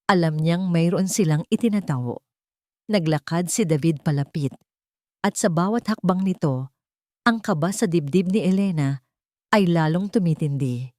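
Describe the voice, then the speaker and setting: A woman's voice: fairly low pitch, moderate pace, pauses often, a little mellow, dry recording, studio quality. A woman storyteller giving scripted dramatic narration of a love story.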